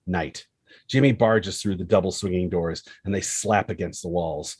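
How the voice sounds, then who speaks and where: A man's voice: low-pitched, a little mellow, husky, no echo. A man narrating screenplay action and scene headings as a scripted table read. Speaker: narrator reading stage directions.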